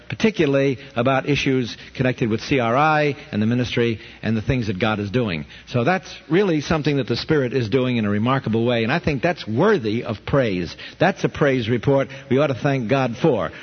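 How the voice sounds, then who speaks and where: Husky New man on old radio. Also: mid-range voice. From Brooklyn, New York. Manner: earnest, conversational, reads questions aloud. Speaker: ministry leader answering questions. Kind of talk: radio Bible study talk. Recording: dull, reverberant radio broadcast.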